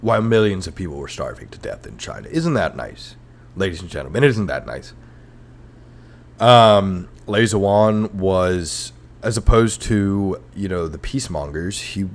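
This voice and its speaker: Man, very low voice, sarcastic, rambling, comedic history podcast host. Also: a little mellow. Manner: sarcastic, rambling, self-mocking.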